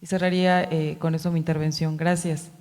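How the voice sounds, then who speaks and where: A woman's voice: low voice, little pitch movement, brisk, darkish tone. A woman in the audience asking a long academic question, hesitant and searching for words. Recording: long hall reverb.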